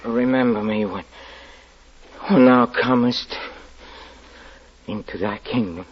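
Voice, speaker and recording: An actor playing a weary dying fugitive in a radio drama, weak and breathless, speaking haltingly and slipping into whispers. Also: male voice, mid-range voice, husky. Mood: dying, reverent. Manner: halting, faltering, breaking into whispers. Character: dying fugitive man, weary, resigned, quoting scripture. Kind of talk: 1940s radio drama acting. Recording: muffled vintage radio recording.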